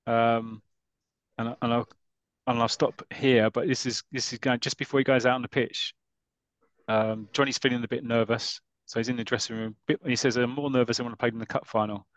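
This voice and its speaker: A man's voice: mid-range voice, steady tone, moderate pace, a bit bright, no echo. Rambling, casual man telling a story. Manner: rambling, lots of ums and restarts, self-mocking. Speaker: podcast host retelling a comic story. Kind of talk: casual retelling with friends.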